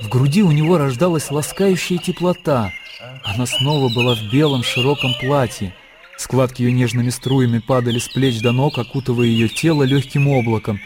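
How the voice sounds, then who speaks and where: Мужчина читает литературное повествование от автора в радиоспектакле. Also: male voice, mid-range voice, normal speed, background noise. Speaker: narrator. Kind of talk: scripted literary narration in radio drama.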